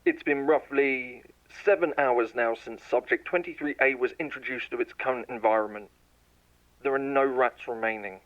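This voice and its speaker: A man voices a lab scientist in a horror audio drama, dictating test logs in a measured, clinical tone. His voice sits at an ordinary middle pitch for a man. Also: lo-fi sound. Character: male lab scientist logging tests, growing frightened. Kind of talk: scripted horror audio narration.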